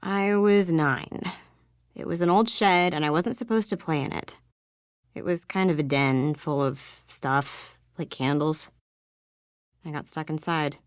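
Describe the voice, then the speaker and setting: A woman's voice: low voice, measured pace, no echo, studio quality. A woman playing a guarded young female outcast in a video game, speaking in a terse, withdrawn, sardonic way. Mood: reluctant, pained.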